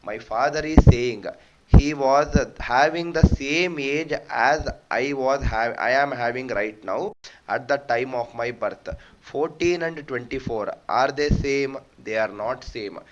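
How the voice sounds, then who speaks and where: A man's voice: medium pitch, takes it slowly. A man teaching a live math lesson, explaining step by step and repeating points. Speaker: online exam-prep teacher. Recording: dull lo-fi audio with faint noise.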